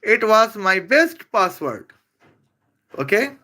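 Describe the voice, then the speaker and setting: A speaker from Bangladesh who speaks English as an after Bengali, giving a rambling, halting comedic roast full of hesitations. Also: medium pitch, big pitch swings, bright, forceful tone. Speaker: video creator.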